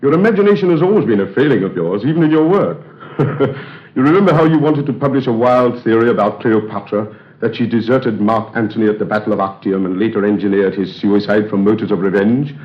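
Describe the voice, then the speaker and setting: An actor playing a firm, condescending older friend in a 1930s radio drama. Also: male voice, lowish voice, fairly quick, close to an everyday voice. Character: brisk, patronizing older male friend. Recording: dull roomy 1930s radio recording.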